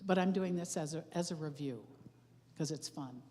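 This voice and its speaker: A woman's voice: low voice, normal speed, warm tone. A woman introducing herself in a class, light and amused. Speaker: class participant. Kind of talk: casual self-introduction. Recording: long church-hall reverb.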